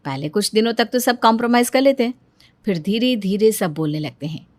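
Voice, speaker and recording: A woman's voice: mid-range voice, animated, a little fast, no room sound. Woman narrating a story, dry recording.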